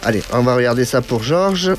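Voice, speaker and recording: A man's voice: medium pitch, lively intonation, a little fast, music in the background. A man hosting a radio call-in consultation, reassuring callers while hesitating with many fillers and restarts. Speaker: radio clairvoyant host taking calls.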